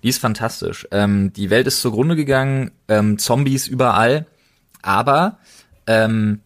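A man's voice: medium pitch, measured pace. A man chatting casually as a podcast co-host, enthusiastic and emphatic with plenty of slang.

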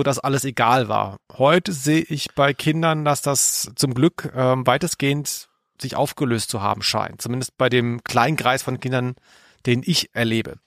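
A man's voice: mid-range voice, dry recording, studio quality. A middle-aged man co-hosting a podcast in casual chat, a little hesitant and self-deprecating. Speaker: podcast co-host.

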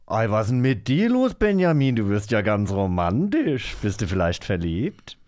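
A man's voice: fairly low pitch, big pitch swings, normal speed, darkish tone, pitched below the performer's own voice. A man reading a teasing child from a children's radio play in playful dialect.

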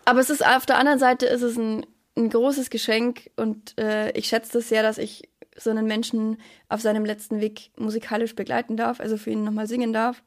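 Higher-pitched woman in relaxed chat. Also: fairly quick. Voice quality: trained actor's voice. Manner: relaxed, reflective, laughs easily. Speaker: podcast co-host and singer. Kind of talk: casual podcast chat.

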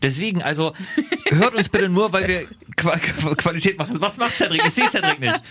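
A man's voice: high voice, lively intonation, a little fast, bright, forceful tone. A man hosting a podcast in casual, playful banter, seated with a handheld mic. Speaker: podcast host. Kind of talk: casual radio-style podcast chat.

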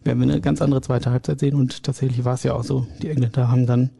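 A man, a sports journalist, chatting about football on a podcast in a quick, hedging way. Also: medium pitch, little pitch movement, gravelly, warm tone, husky. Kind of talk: casual football podcast chat.

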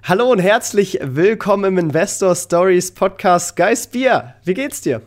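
A man's voice: high voice, expressive, measured pace. A man hosting a podcast interview, asking questions in a friendly way with frequent fillers. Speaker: podcast host. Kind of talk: casual interview.

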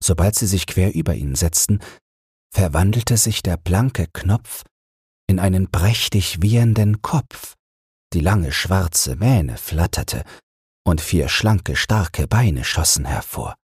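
A man gently narrating a bedtime fairy tale in a soothing, measured way, close to the microphone. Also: deep voice, lively intonation, husky, no echo. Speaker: audiobook narrator.

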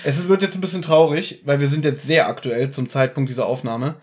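A man's voice: on the high side, fairly even intonation, a little fast, breathy edge. A man hosting a podcast, chatting casually as he recaps an audio drama. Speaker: podcast host.

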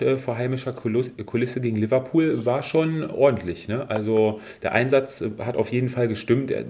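A man hosting a casual football podcast, chatting in a relaxed way with many fillers. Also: on the low side. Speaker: podcast host.

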